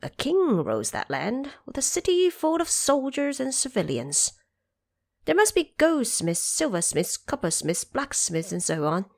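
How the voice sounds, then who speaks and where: Woman voicing four elderly monkey counselors, high and eager. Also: very animated, a little slow, no echo, full character voice. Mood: advising. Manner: respectful, advising the king.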